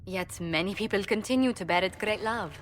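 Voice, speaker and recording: A woman's voice: medium pitch, lightly acted. Woman acting a poised diplomat in a reverberant hall. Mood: poised, explaining. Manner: formal, measured, diplomatic. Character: poised, courteous young female ambassador and diplomat. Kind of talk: video game dialogue acting. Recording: noisy, long hall reverb.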